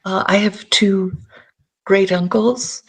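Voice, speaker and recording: A woman with a Canadian accent, a podcast guest telling history with enthusiasm between many pauses and fillers. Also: medium pitch, steady tone, slightly rough. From Scotland. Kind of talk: interview chat.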